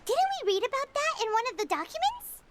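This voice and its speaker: A tiny, chatty fairy companion who keeps calling herself by name instead of saying "I". Her voice sits extremely high and squeaky, and it bounces wildly from note to note. Game music plays clearly behind her. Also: sing-song, fairly quick. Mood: curious. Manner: talks about herself in the third person. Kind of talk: video game voice acting. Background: game background music.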